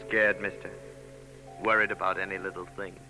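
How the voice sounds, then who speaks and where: A man's voice: deep voice, flat delivery, fairly quick, over music, natural voice, rough recording. An actor playing a cold, taunting bald killer in a 1940s radio drama, sneering and full of tough-guy slang. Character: bald murderous male gunman, cold and taunting.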